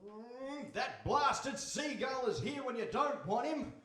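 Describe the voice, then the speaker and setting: A henpecked fisherman played by a man in live radio theatre, high-voiced and swooping between timid hesitation and exasperated grumbling. He drags out his words, in an echoey hall at a distance from the mic. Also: animated, takes it slowly, fairly bright tone, heavily acted. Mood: grumbling, anxious. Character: meek, henpecked, reluctant fisherman husband. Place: hall, live stage performance.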